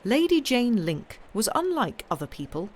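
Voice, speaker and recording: A woman with a slightly croaky voice who hosts a live stream and reads ghost stories aloud. She chats in a rambling way and corrects herself before she settles into the reading. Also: on the high side, sing-song, moderate pace. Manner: rambling, stumbling, self-correcting chatter. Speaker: storyteller and live-stream host. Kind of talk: casual chat then reading a ghost story aloud.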